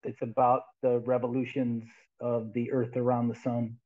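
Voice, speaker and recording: A man's voice: mid-range voice, monotone, normal speed. 60s guy rambling and laughing on a tinny video call, lots of restarts. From United States. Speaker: guest musician. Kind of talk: casual interview over video call. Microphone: headphones on a video call. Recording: tinny phone-like line.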